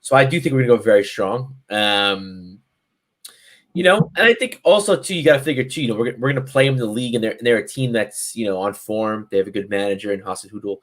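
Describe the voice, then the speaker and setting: A man's voice: lowish voice, animated, fast. A man co-hosting a football podcast, rambling and thinking aloud in casual chat. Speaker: podcast co-host.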